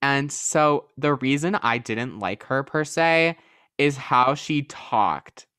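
A teenage boy hosting a podcast, chatting casually with a friend in a sassy, animated way. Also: mid-range voice, slow pace, clean tone, no echo, clean studio sound. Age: teens. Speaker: podcast host. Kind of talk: casual chat.

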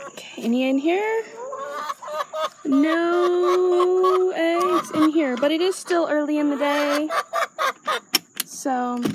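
A woman casually narrating her farm chores and thinking aloud as she goes, in an unscripted vlog style. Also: high voice. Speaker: small farm owner and vlogger. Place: outdoors on a farm. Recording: outdoor noise, insects and animal sounds.

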